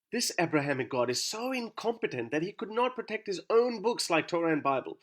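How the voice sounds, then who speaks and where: A man whose first language is Urdu, delivering a scripted, argumentative monologue full of sarcastic rhetorical questions. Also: on the high side. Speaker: YouTube commentator.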